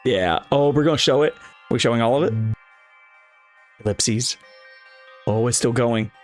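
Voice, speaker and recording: A man's voice: medium pitch, measured pace. Casual male let's player over game music. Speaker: let's player reading a visual novel aloud. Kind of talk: casual gameplay commentary.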